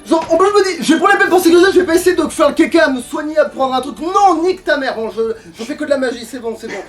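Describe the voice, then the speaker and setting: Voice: high-pitched, animated, racing, soft background music. A gamer giving casual, sweary and grumbling commentary during a let's play. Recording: distant mic with background noise.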